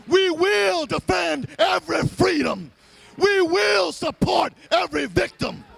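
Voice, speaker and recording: Guy preaching at a live event, high gravelly voice, really dramatic, emphatic repeated phrases. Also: lively intonation, takes it slowly, a bit bright, shouting. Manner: dramatic, emphatic, rhythmic repetition building in intensity. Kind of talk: impassioned sermon-style oration at a live event. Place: live public gathering, speaking to an audience.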